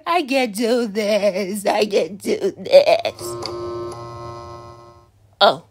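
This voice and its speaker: A woman's voice: mid-range voice, extremely slow, long pauses, faint music behind. A woman voicing a jumpy, foul-mouthed teenage boy in an ASMR roleplay, swearing and being dramatic. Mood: panicky.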